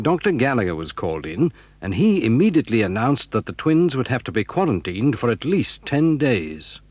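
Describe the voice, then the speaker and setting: A man's voice: mid-range voice, a little mellow, husky. A man narrating a dramatised children's story in a scripted storybook style. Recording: dull, roomy 1983 audio recording with music.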